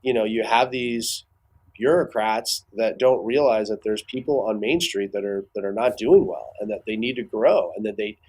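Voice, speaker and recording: A man giving casual expert commentary as an interview guest, building his points haltingly with repeated words. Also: on the low side, darkish tone, breathy edge, low-quality recording.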